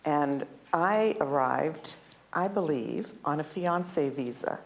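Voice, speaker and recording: A woman in her sixties from Southern California, speaking on a panel in a relaxed, lightly humorous way. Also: low-pitched, slow pace, vocal fry.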